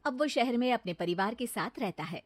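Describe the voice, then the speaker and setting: A woman's voice: a little high, expressive, a little fast, no echo. A woman narrating a scripted moral story as the storyteller.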